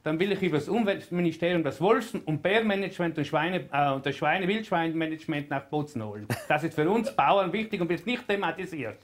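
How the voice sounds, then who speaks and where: A man in his fifties speaks up in a televised studio panel, emphatic and animated. His voice sits somewhat high for a man. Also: brisk, few pauses. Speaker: election candidate, farmer. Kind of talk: TV panel debate answer.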